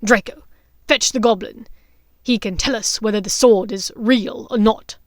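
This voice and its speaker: A woman's voice: medium pitch, measured pace, no room sound, studio quality, lightly acted. A woman voicing a commanding adult wizard, a father, in an audiobook story, speaking emphatically.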